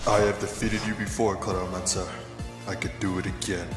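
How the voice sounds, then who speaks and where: A man's voice: sits low, little pitch movement, a little fast, lightly acted. A man playing a wounded ninja warrior in an audio drama, strained and desperate. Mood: defiant. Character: defiant male ninja warrior, wounded, pleading for his family. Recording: echoey hall reverb, music behind.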